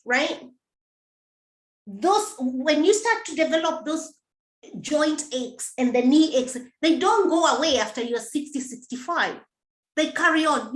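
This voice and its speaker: A middle-aged woman with a high voice speaks slowly and deliberately as a coach, encouraging her listeners and repeating key phrases. Her voice rings out in an echoey room. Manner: encouraging, repetitive, direct address to listener. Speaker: menopause coach and host. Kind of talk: live motivational talk to viewers.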